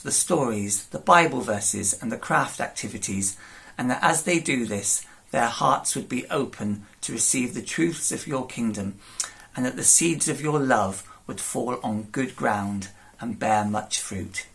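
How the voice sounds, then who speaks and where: A man in his forties, a pastor thanking his team and leading a steady, warm spoken prayer. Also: mid-range voice, a little slow, vocal fry.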